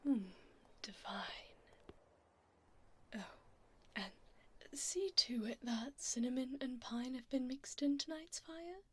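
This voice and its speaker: Woman voicing a slow, whispery regal vampire duchess. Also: sits high, darkish tone, husky, full character voice. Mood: weary, lofty. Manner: formal, aristocratic, unhurried. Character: regal, haughty but tender female vampire duchess. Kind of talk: ASMR roleplay, soft-spoken and whispered.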